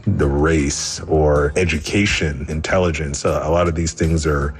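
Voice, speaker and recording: A man's voice: very deep voice, steady tone, measured pace. A man listener giving a reflective recorded message.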